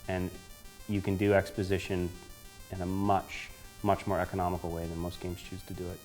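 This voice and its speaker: A man's voice: sits low, completely flat delivery, moderate pace, darkish tone, rough recording. A man who writes, giving blunt, opinionated and emphatic commentary in an interview. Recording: music behind the voice. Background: background music.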